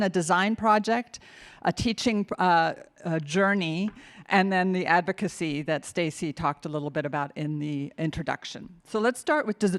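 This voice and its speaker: Woman, fifties, from Finland, keynote speaker, low voice, halting with fillers and restarts. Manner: nervous start, many fillers and restarts. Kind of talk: conference keynote talk. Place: conference hall.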